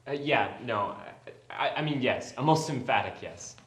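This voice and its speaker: A man's voice: medium pitch, expressive, moderate pace, a bit bright, lightly acted. A young man playing an awkward, bookish aspiring writer, stammering nervously and eagerly in a web series. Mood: flustered. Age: young adult. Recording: echoey room with noisy ambience.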